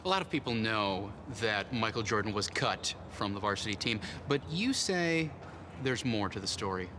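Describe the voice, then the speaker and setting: A man's voice: fairly low pitch, noisy background, close to an everyday voice. A man playing an earnest newsmagazine reporter in a spoof, narrating formally and with a straight face. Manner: formal, measured, deadpan. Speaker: reporter and interviewer. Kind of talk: scripted news narration.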